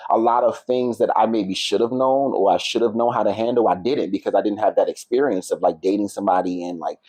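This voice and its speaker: Low-voiced man in his thirties, closely recorded. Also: fast talker, darkish tone, no room sound. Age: 30s. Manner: reflective, open, peppers talk with "like", "you know" and "right?". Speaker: podcast guest. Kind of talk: candid, casual personal conversation.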